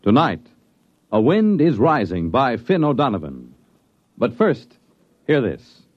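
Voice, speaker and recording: A man's voice: medium pitch, wildly swooping pitch, takes it slowly. A man announcing a radio show in a grandiose, theatrical 1950s style. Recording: dull old radio recording with music. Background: dramatic music.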